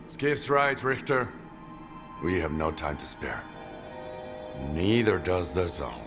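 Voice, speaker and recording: Deep grim stalker vet for a game, blunt and serious, music under him. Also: male voice, very animated, takes it slowly, exaggerated voice. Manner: blunt, grave, resolute. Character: hardened veteran male stalker, grim, resolute. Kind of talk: video game cutscene acting.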